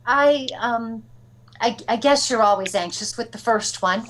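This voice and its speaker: A sweet-natured, anxious mother-to-be from an old radio comedy, acted by a woman. Her voice sits fairly high, with a faint hiss on the recording. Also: a little slow, a bit bright, poor audio. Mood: nervous, amused. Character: anxious, grateful young expectant mother.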